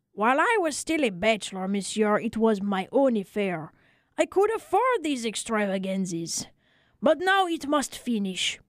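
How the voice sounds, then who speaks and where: A woman playing an excitable, tender-hearted little French barber telling his tale in a dramatic, emphatic way for a radio story. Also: a little high, no echo, clean studio sound. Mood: indignant.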